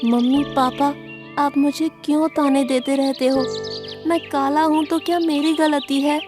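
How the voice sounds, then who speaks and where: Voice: sits high, fairly even intonation, a little slow, over music. A performer voicing a plucky, earnest young male swan in a cartoon moral story. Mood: hurt. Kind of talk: animated moral story dialogue.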